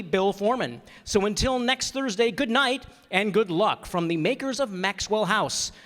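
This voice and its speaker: An actor playing a 1950s network radio announcer delivering a formal sign-off on stage. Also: male voice, high-pitched, fairly bright tone, long reverb tail. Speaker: show announcer. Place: stage before a live audience.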